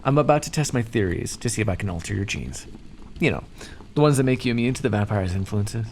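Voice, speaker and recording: Man playing a smug, deep-voiced male vampire captor. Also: expressive, fast talker, darkish tone, a little hiss or hum. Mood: coolly businesslike. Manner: condescending, theatrical, teasing drawl with pet names. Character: smug, playfully menacing male vampire captor-scientist, politely condescending. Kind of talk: ASMR roleplay monologue addressed to the listener.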